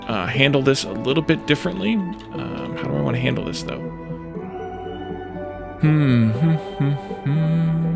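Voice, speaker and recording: A man's voice: medium pitch, creaky. A man hosting a let's play, muttering his way through game decisions aloud in short, hesitant phrases. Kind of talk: gaming commentary, thinking aloud while playing.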